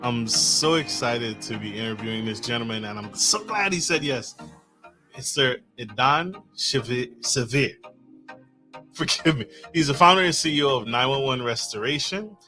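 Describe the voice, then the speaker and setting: Effusive male host, bright and forceful, faint music bed. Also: mid-range voice. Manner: effusive, enthusiastic, stumbles over names. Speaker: podcast host. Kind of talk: podcast interview.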